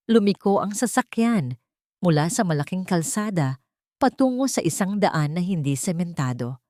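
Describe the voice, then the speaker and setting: A woman's voice: lowish voice, darkish tone, dry recording, studio quality. A woman narrating a sentimental story in the first person, as a scripted dramatic audio drama.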